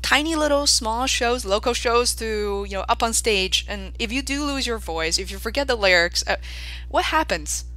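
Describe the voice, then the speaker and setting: A woman hosting a podcast, asking lively follow-up questions and talking at length between them. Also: on the high side, normal speed. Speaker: podcast host, interviewer. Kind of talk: casual interview chat.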